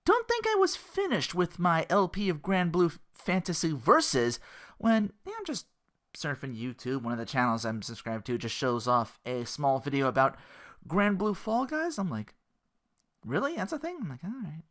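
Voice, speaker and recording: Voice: medium pitch, moderate pace. A podcast host giving casual solo commentary on video games, rambling and profane, often acting out reactions. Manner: rambling, animated asides, profane, acts out reactions.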